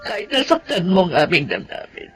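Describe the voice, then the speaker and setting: A woman's voice: lowish voice, big pitch swings, brisk. A woman playing a hurt, tearful, loving middle-aged mother in a radio drama. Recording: music under the voice.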